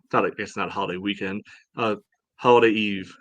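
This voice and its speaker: A man's voice: on the low side, fairly even intonation, quick pace, a bit bright, no echo. A man co-hosting a sports podcast in informal, casual talk full of verbal padding. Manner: informal, peppered with "you know". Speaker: podcast co-host.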